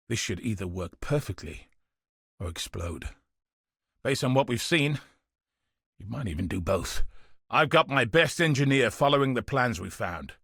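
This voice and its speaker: Fantasy game soldier, husky, forceful, gruff, commanding, earnest, close dry sound. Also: male voice, medium pitch, no room sound, exaggerated voice. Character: gruff, dutiful veteran Templar soldier and tracker, a man. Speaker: video game character. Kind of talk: scripted video game dialogue.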